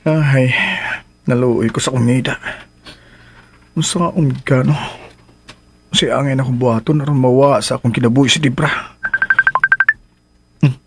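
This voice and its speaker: A man's voice: medium pitch, fairly even intonation, normal speed, pauses often, soft background music, natural voice. An actor playing a cornered man pressured by his lover, exasperated and pleading in radio drama style. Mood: troubled, brooding.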